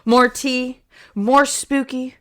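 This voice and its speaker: A woman's voice: sits high, level tone. Teasing woman co-hosting a podcast. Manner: teasing, quick-witted, laughs a lot. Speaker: podcast co-host. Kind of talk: casual chat.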